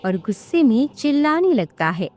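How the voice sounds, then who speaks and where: A woman's voice: sits high, very animated, moderate pace, a little mellow, faint music behind. A woman reading scripted, dramatic fairy-tale narration as a storyteller.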